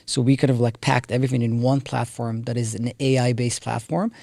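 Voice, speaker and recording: A man's voice: mid-range voice, fairly even intonation, a little fast, vocal fry, mellow, no echo. A man in his thirties with an Israeli accent, a company co-founder explaining things step by step as a podcast guest. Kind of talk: podcast interview.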